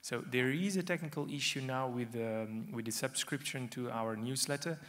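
A man's voice: mid-range voice, normal speed, a bit bright. A young man presenting his association's board candidates from a lectern in a conference hall, speaking loosely with many fillers.

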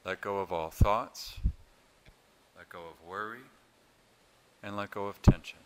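Deep-voiced, slow-paced man guiding meditation. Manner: calm, soothing, unhurried with long pauses. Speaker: yoga nidra meditation instructor. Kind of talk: guided meditation.

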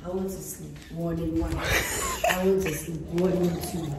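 A young woman jokes and complains with her friends while her voice is wearing out. She sits somewhat back from the phone in a roomy space, with loud noise and a crowd around her. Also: lowish voice, fairly even intonation, moderate pace, far from the mic. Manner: joking, dramatic complaints. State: losing her voice. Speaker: friend on the trip. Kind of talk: casual chat.

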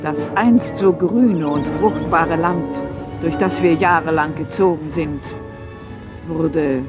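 A man's voice: on the high side, slow pace, loud music behind the voice. A man voicing a grave, weary old female dinosaur who leads a herd, in a children's cartoon audio drama.

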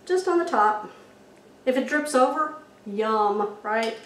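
A woman's voice: a little high, animated, slow. Chatty woman cooking at home. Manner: chatty, folksy, checks in with viewers. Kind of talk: cooking demonstration. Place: home kitchen, indoors. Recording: echoey kitchen with faint noise.

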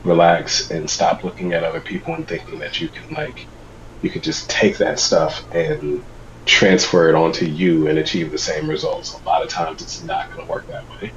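A man in his thirties chatting over a video call, slightly congested from a cold, giving relaxed advice. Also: sits low, moderate pace, lo-fi sound, a little hiss or hum. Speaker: guest. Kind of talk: casual video call chat. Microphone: video call webcam.

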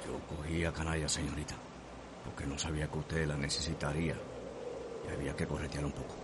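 Very deep, guarded Cuban servant man. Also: level tone, fast. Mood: guarded, respectful. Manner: deferential, restrained. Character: guarded enslaved man, strong, deferential to his mistress. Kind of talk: radio soap opera acting. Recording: music and ambient noise behind voice.

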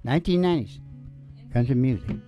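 A man's voice: medium pitch, a little fast, dull audio. An older man making teasing, folksy stage banter as a musician hosting a show, speaking into a stage microphone. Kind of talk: casual joking stage banter. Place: small curtained indoor stage. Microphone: stage microphone on a stand. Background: acoustic guitar.

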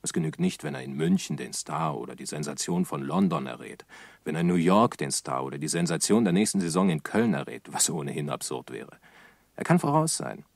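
A man playing a sardonic commentator in a literary radio play, with a gravelly, husky voice. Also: a bass voice, huge pitch swings, brisk, dry recording, heavily acted. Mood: sardonic, probing. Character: sardonic male commentator musing on success. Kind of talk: literary radio play monologue, reflective and essayistic.

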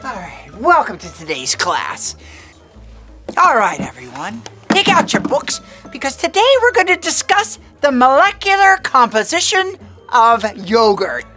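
A woman's voice: a little high, big pitch swings, unhurried, pushed and bright, exaggerated voice. A middle-aged woman playing a strict, cranky schoolteacher who hates phones, scolding and mocking her students in a scripted comedy skit. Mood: lecturing. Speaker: teacher. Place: staged classroom set. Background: music bed.

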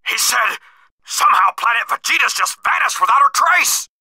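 A rough alien henchman from a video game, loud and deferential. The voice is strained high, piercing and raspy. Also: lively intonation, normal speed, no echo, full character voice. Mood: hesitant, bewildered. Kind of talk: animated video game voice acting.

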